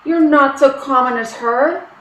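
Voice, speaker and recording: A woman playing an anxious, protective Irish village woman, pleading deliberately in a stage play reading. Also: sits high, fairly bright tone. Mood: disapproving, pleading. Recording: roomy, echoing stage-reading sound.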